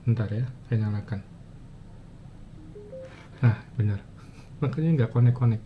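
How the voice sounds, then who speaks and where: A man giving a casual step-by-step demonstration, talking in a halting way as he thinks aloud with frequent pauses. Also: fairly low pitch, steady tone. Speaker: motovlogger giving a gear tutorial. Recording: muffled, dull audio with clear ambient noise.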